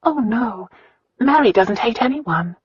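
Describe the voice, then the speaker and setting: A reader voicing a gentle, earnest young woman who speaks softly and sincerely, at times in a whisper. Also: fairly high pitch, expressive, slow, slightly rough, no echo, voice pushed up, exaggerated voice. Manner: soft, sincere, sometimes whispering. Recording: dull lo-fi audiobook recording.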